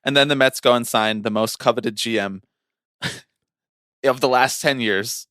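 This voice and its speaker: A man co-hosting a podcast, talking casually about sports in an animated, wry, conversational way. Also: medium pitch, unhurried, no echo, clean studio sound. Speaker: podcast co-host.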